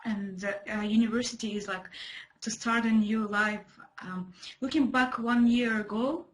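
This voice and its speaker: A woman's voice: on the high side, a little slow, low-quality recording. Young woman. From Kazakhstan. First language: Russian. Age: young adult. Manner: careful, hesitant. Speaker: student speaker.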